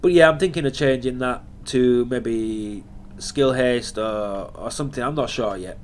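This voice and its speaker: A man's voice: medium pitch, a little slow. Nasal, sniffly man explaining a game build. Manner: chatty, explaining step by step. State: nasal and sniffly from hay fever. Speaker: gaming channel host. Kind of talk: casual gameplay commentary.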